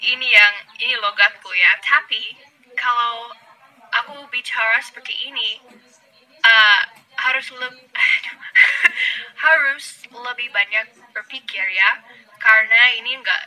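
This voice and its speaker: A woman from Canada talking casually to her vlog audience in a lively, friendly way, laughing easily. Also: fairly high pitch, a little slow, rough recording. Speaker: vlogger.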